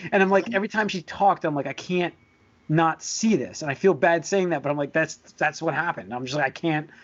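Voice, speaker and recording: Higher-voiced man podcasting, slightly muffled. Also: fairly bright tone. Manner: halting, hedging, repeats words. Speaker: podcast host. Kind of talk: casual chat about movies and shows. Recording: dull lo-fi voice recording.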